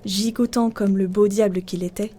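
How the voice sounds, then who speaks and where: Mellow-voiced woman storyteller. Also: mid-range voice, fairly even intonation, normal speed. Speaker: storyteller, narrator. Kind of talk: scripted literary narration of a folk tale.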